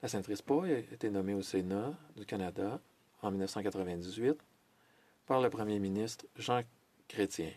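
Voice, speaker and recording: Low-voiced man interpreting a formal speech. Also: a little mellow. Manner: measured, with hesitations and self-corrections. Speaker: French interpreter of a Senate speech.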